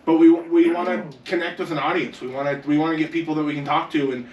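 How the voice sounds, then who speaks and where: A man's voice: a little high, steady tone, fast talker, darkish tone, dull audio. A young man co-hosting a casual gaming podcast, upbeat and leading the talk with questions. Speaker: podcast co-host. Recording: roomy echo, distant mic, faint noise.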